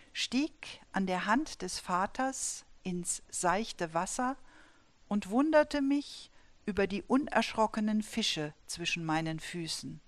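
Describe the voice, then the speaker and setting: A woman in her fifties from the German Saar-Palatinate border region, a poet presenting and reading her own poems in a measured, deliberate way. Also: medium pitch. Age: 50s. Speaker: poet and dramaturge.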